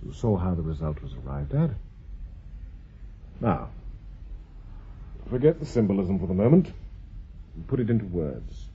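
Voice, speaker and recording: British senior scholar, deep-set, rough husky voice, measured, authoritative, slightly dull radio recording. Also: male voice, sing-song, heavily acted. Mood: probing, instructive. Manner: measured, commanding, questioning like a tutor. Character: authoritative, probing senior male professor, calm and brisk. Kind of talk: BBC radio drama acting.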